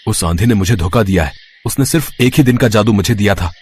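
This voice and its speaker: A man voicing a poor, hardworking village miller in a cartoon story, husky and dramatically exclaiming. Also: on the low side, quick pace, a little mellow, slight background noise, natural voice. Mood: angry, accusing.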